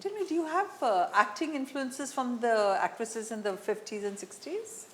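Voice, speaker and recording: Lively-pitched Indian woman interviewer. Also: normal speed, slight background noise. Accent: Indian English. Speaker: talk show host, interviewer. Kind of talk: conversational celebrity interview.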